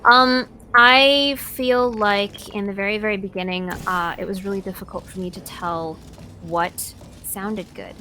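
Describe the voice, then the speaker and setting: A woman who is a voice actor, answering interview questions casually and thoughtfully, with hesitant pauses. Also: mid-range voice, fairly even intonation, bright, forceful tone, soft background music. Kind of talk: casual, relaxed conversation.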